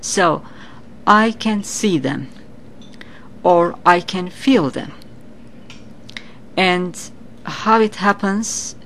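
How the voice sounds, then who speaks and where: A middle-aged woman from Turkey speaks English with a Turkish accent, explaining her ideas slowly and earnestly. Her voice sits a little low, and she often repeats a word before going on. A faint hiss runs under the recording. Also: a bit bright, poor audio. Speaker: psychic guest. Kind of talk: interview answers.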